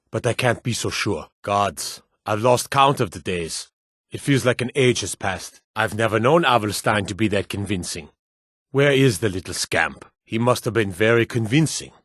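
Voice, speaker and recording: Weary fantasy soldier played by a male actor for a video game: husky, dry close sound, gruff and measured. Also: lowish voice, no room sound, close to an everyday voice. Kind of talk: video game character dialogue.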